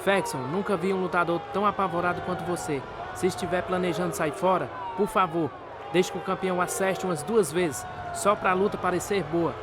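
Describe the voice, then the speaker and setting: An actor playing a commanding boxing referee and ring announcer in a radio drama. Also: male voice, high voice, steady tone, fairly quick, natural voice. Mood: firm, brisk. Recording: loud crowd noise behind the voice.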